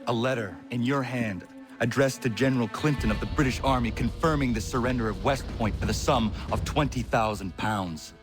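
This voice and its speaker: An actor playing a stern, resolute young warrior with terse, deliberate, formal speech in a video game. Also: male voice, mid-range voice, over music, close to an everyday voice. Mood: accusing. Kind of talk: video game drama acting.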